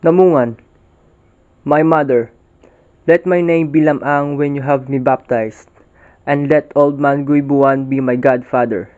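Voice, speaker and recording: A man's voice: medium pitch, steady tone, unhurried, long pauses, close to an everyday voice. A man playing a bold, proud young epic hero and devoted son in readers' theater, speaking formally. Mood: earnest, resolute. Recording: dull lo-fi recording.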